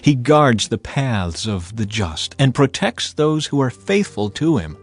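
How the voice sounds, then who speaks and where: A man giving a dramatized scripture reading in a solemn, measured, deliberate manner. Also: mid-range voice, sing-song, slightly rough. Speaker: Bible narrator. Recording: music behind the voice. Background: music.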